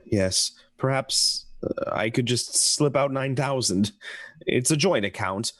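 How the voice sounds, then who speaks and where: A man's voice: mid-range voice, slow, fairly bright tone, no room sound. A man playing a nervous, stammering husband with a guilty secret in a radio play. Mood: anxious, eager. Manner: hesitant, stammering, flustered. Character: nervous, henpecked-feeling husband with a guilty scheme.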